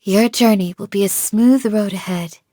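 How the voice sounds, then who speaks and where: A woman playing a calm, devoted village guardian in a video game, speaking in a measured, formal, gently solemn way. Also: mid-range voice, dry recording, clean studio sound, lightly acted. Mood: kindly. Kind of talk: scripted game character voice lines.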